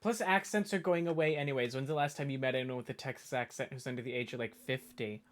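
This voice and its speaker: A woman's voice: extremely low pitch, quick pace, noisy background. A woman from the suburbs outside Boston with a light Boston accent, chatting casually with her stream chat and rambling through restarts.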